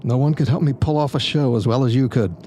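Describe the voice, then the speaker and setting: A man's voice: medium pitch, fast, dark tone, a little hiss or hum, lightly acted. A man playing a smug, egotistical stage magician in an audio drama, taunting and persuasive in a theatrical way. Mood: coaxing. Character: smug, egotistical, coaxing male magician, former stage star.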